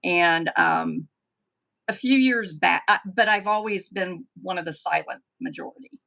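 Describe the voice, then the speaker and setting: A middle-aged woman from the southern United States with a softened Tennessee accent, chatting easily as a guest on a live stream interview. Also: fairly low pitch, wildly swooping pitch, measured pace. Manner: chatty, with frequent ums and restarts. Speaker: political candidate, guest. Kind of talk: casual interview answers.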